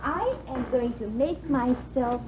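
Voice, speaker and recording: A high, playful young bride. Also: female voice, slow pace. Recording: hissy, dull 1950s radio broadcast.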